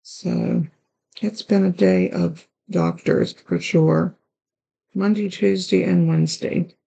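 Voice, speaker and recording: Raspy, hoarse woman with gravelly low voice. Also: steady tone, takes it slowly, mellow, lo-fi sound. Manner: chatty, talks while working with her hands. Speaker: card-making channel host. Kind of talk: step-by-step crafting tutorial.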